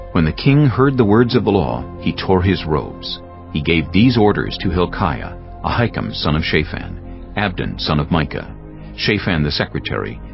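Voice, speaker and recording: A man narrating dramatized scripture in a solemn, deliberate, scripted style. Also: very deep voice, creaky. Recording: slightly dull audio with music bed.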